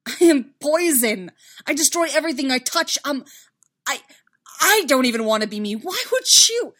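A woman voicing an anguished, self-loathing young knight in a space fantasy story, halting and desperate. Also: mid-range voice, animated, lightly acted. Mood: bitter, upset.

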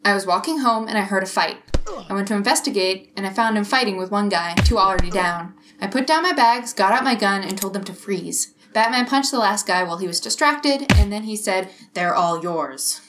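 A woman's voice: medium pitch, lively intonation, fairly quick, over music, lightly acted. A woman playing a sensible, skeptical female police detective with a dry, matter-of-fact delivery.

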